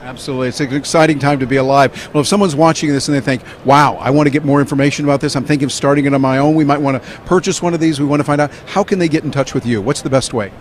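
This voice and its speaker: A man's voice: mid-range voice, steady tone, rapid-fire, few pauses. A male business reporter interviewing a guest at a trade show in an upbeat, motivational way.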